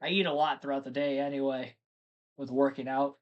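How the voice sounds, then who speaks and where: A man's voice: medium pitch, little pitch movement, brisk, muffled recording. A man hosting a live race stream, chatting casually and rambling to his viewers between race updates. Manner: chatty, rambling, talks to chat.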